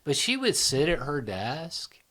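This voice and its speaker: A man's voice: on the high side, sing-song, a little slow, fairly bright tone. A middle-aged man talking casually in an interview, rambling and often checking in with "right?" and "you know?". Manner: rambling, filler-heavy, keeps asking "right?" and "you know?". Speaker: psychology channel founder and host.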